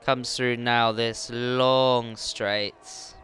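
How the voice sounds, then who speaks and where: A man's voice: medium pitch, flat delivery, extremely slow, fairly bright tone, soft background music. A man giving play-by-play commentary on an online racing league, his voice worn and tired from streaming, with frequent ums. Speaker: race commentator.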